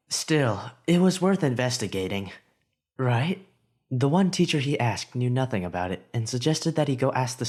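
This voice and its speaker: A man reads a fan audiobook aloud at an ordinary mid pitch. His delivery is expressive, rising with the hero's inner thoughts. The reading is steady story narration.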